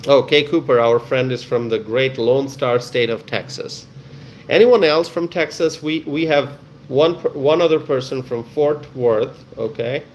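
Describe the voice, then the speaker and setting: A man's voice: mid-range voice, measured pace, a little hiss or hum, low-quality recording. A man with a Bangladeshi accent hosting a casual live stream, chatting with viewers in a friendly, welcoming way and often repeating himself.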